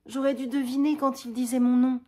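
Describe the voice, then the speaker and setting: A woman's voice: high voice, level tone, brisk, mellow, pitched above the performer's own voice. A woman voicing a passionate noblewoman who speaks urgently and emphatically, pressing with rising insistence. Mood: urgent, pleading. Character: passionate noblewoman, stunned and pleading.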